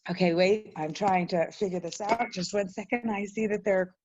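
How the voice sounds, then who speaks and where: A woman hosting an online commemoration, speaking informally and reflectively in an earnest, emphatic manner with deliberate stresses. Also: medium pitch, steady tone, a crowd in the background. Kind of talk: informal reflective webinar talk. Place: indoors on a webinar call. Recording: muffled, roomy online webinar audio.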